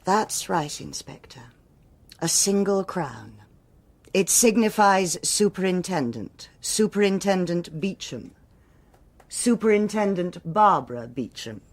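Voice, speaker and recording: An actress playing a cool, exacting police superintendent in a radio drama, with a formal, cutting, authoritative manner. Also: female voice, on the low side, expressive, slow pace, exaggerated voice. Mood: cool, commanding.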